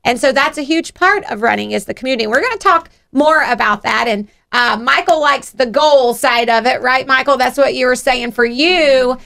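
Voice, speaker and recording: A middle-aged woman with a Southern US accent and a high-pitched, creaky voice. She hosts a motivational radio show, chatting and encouraging listeners effusively. Her tone is bright and forward. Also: moderate pace, hardly any pauses. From Georgia, United States. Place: radio studio.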